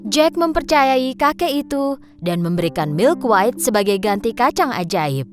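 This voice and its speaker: Children's fairy-tale narrator: female, lively swooping intonation, fairly high, faint music behind. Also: a little slow.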